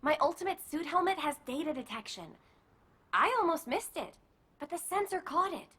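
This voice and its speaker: An actress voicing an eager, upbeat, energetic young female scout in a video game, with a bubbly manner. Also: very high-pitched, normal speed. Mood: helpful, upbeat.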